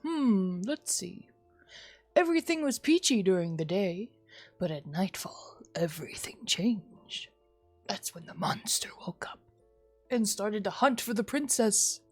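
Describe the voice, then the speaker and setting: Woman as a slow, hushed storytelling father. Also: mid-range voice, expressive, faint music behind. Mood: ominous, soothing. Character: gentle father telling an ominous bedtime fairy tale. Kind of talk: visual novel read-aloud.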